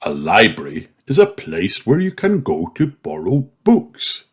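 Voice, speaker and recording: A man voicing a mild, easygoing father pig in a children's storybook, explaining things in a measured way. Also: fairly low pitch, huge pitch swings, slightly rough, heavily acted. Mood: friendly, explaining.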